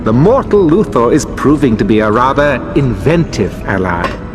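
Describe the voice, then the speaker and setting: A man's voice: mid-range voice, very animated, normal speed, music in the background, close to an everyday voice. A man voicing a villainous alien henchman in a superhero game, formal and servile with a sly edge. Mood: sly deference. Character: smooth, deferential villainous male alien henchman. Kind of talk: animated superhero game voice acting.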